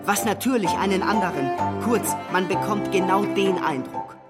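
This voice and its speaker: An actor playing a gallant young gentleman suitor in a period radio drama, effusive, flustered and eager to flatter. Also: sits high, moderate pace, lightly acted. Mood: flustered insistence. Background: prominent music.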